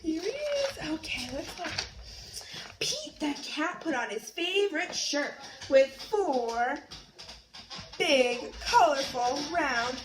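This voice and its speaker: A woman reads a picture book to her little one at home, with a very high voice that swoops up and down and an unhurried pace. She coaxes and quizzes the child in a sing-song way. The room is roomy and noisy. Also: very animated. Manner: sing-song, coaxing, asks the child questions. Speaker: parent reading to her young child. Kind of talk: animated picture-book read-aloud.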